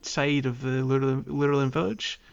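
Halting man, slightly dull recording. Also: mid-range voice, steady tone, gravelly, soft background music. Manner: halting, hesitant delivery with stammered false starts. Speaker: podcast co-host. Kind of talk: casual game recap chat.

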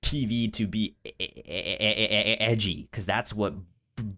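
A man co-hosting a podcast with casual film commentary, halting and stuttering on repeated sounds and words. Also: lowish voice, fairly even intonation, bright, forceful tone. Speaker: podcast co-host.

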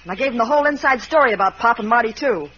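A tough, defensive American gangland girlfriend in a vintage radio crime play. The voice is sharp, slightly husky and quick, with commanding delivery. The recording is narrow and muffled. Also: on the high side, lively intonation. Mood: hard, defensive. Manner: clipped, commanding. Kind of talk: old-time American radio crime drama acting. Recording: dull, band-limited old radio recording.

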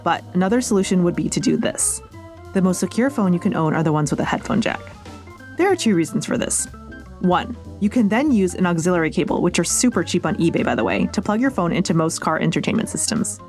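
A woman's voice: on the low side, animated, quick pace, a little mellow, soft background music. An American woman hosting a podcast, reading a script with chatty, playful asides addressed straight to the listener. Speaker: podcast host and reporter.

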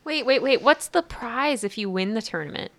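A woman's voice: on the high side, animated, normal speed, fairly bright tone, slight background noise. A woman playing a tabletop character, polite and bubbly. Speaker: player.

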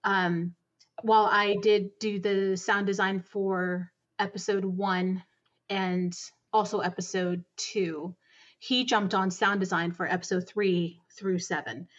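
A woman's voice: medium pitch, fairly even intonation, slow pace, muffled recording. A woman guest talking at length about making audio dramas, earnest and enthusiastic in her long, running answers. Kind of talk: conversational interview answers.

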